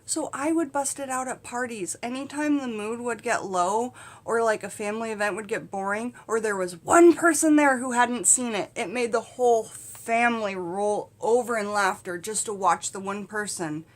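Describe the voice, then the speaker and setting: A middle-aged woman with a fairly high voice talks candidly to her camera. She tells her story in a casual, comedic style, animated and conversational, like a vlog host chatting with her viewers. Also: moderate pace. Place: small indoor space in front of a curtain.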